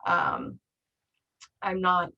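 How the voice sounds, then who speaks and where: A woman with a fairly low voice and plenty of vocal fry co-hosts a casual online team meeting. She talks informally and encouragingly. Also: huge pitch swings, drawn-out words, pushed and bright, lo-fi sound. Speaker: real estate trainer co-hosting a meeting.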